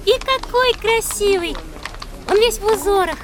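A woman playing an inquisitive, wide-eyed little child in a children's educational audio drama, full of expressive wonder. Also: very high voice, measured pace, crowd noise.

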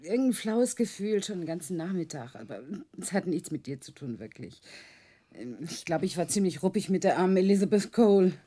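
A woman's voice: on the low side, expressive, brisk, dark tone, dry recording. An actress playing a proud, impatient female scientist in a radio drama, with a brusque, emphatic delivery. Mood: subdued, uneasy. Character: proud, impatient female scientist defending her visionary technology.

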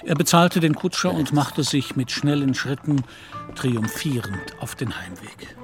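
A man's voice: medium pitch, expressive, a little slow. Male radio-play narrator over music. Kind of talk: scripted literary narration in German radio play.

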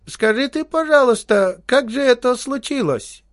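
A man's voice: very high voice, lively intonation, unhurried, dry recording, clean studio sound, voice pushed up. A man doing a sugary, sly old man. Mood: sweetly prying. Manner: sugary, wheedling.

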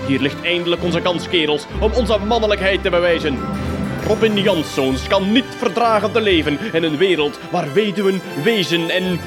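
Man playing a bombastic, high-pitched Flemish outlaw leader. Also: moderate pace, music in the background, lightly acted. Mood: boastful. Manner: bombastic, theatrical, mock-archaic speech. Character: pompous, boastful would-be folk-hero outlaw leader, henpecked husband. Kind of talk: comedic radio play acting.

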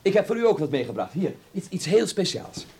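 An actor playing a stylish, wry and slightly theatrical young son in a Dutch radio play. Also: male voice, high-pitched, very animated, a little fast, vocal fry, darkish tone, breathy edge, exaggerated voice. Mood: bubbly. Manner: teasing, flippant.

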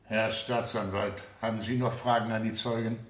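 A man's voice: fairly low pitch, flat delivery, moderate pace, close to an everyday voice. An actor playing a stern, authoritative judge in a radio play, formal and commanding as he presides over a trial. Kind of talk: 1970 German radio play acting. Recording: roomy, echoing studio courtroom.

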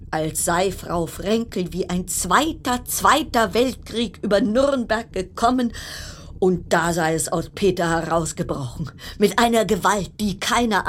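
A woman's voice: a little high, a little hiss or hum. An actress in a radio drama playing a wry, anxious cruise passenger stranded at sea, telling her story in literary, broken-off sentences. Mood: dramatic, wry reporting. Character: weary woman passenger stranded on plague-stricken cruise ship, wry, anxious.

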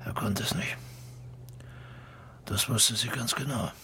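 A man's voice: fairly low pitch, slow, sharp tone, husky, exaggerated voice. An actor playing a bitter older widowed man in a radio drama, blunt and colloquial, speaking in terse fragments. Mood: bitter, scornful. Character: gruff, bitter old widowed drinker defending his friend. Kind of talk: naturalistic radio drama dialogue.

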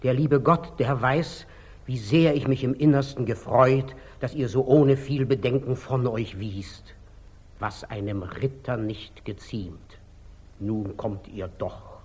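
A humble monastery brother in an old 1940s radio drama. The voice is husky and mid-pitched, deferential and plaintive. Beneath the meekness sits an artful simplicity, careful never to meddle. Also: male voice, steady tone, slow pace, a little mellow. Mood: sly, deferential.

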